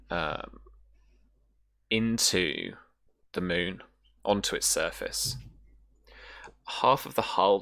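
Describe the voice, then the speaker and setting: Game master, deep pitch, forceful edge, improvised descriptive narration with a halting flow. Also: gravelly.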